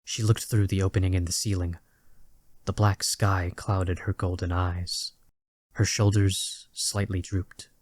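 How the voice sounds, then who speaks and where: Low, steady male story narrator. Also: dry recording, studio quality. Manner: measured, literary storytelling. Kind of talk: scripted dramatic story narration.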